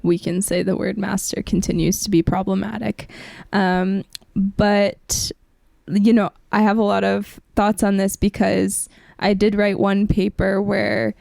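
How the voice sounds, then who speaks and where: A woman discussing ideas on a podcast in a reflective, measured, academic way, explaining as she goes. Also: medium pitch, flat delivery, gravelly, darkish tone, no echo, studio quality. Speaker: podcast panelist.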